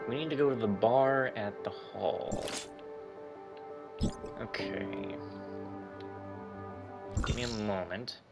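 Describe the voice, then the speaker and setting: A man's voice: mid-range voice, slow pace, long pauses. A man giving casual, rambling gaming commentary, reacting aloud as he plays. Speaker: gaming YouTuber. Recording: loud game music behind commentary.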